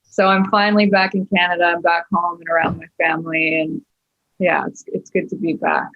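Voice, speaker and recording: A woman's voice: lowish voice, flat delivery, brisk, no echo, dull audio, soft background music. A woman chatting casually and informally over a video call. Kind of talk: casual chat.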